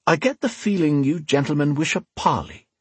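Man playing a cool, menacing rogue, lively intonation. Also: fairly high pitch, breathy edge, no echo, voice pushed up. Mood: wry, menacing. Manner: unhurried, mock-polite, quietly threatening. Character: cool, menacing male rogue with dagger, wryly amused. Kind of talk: dramatic audiobook character voice.

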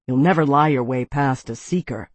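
A narrator voicing a desperate young man who speaks in a hushed, halting, resigned way, choked with regret. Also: medium pitch, no echo, pitched below the performer's own voice, close to an everyday voice. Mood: grim, resigned.

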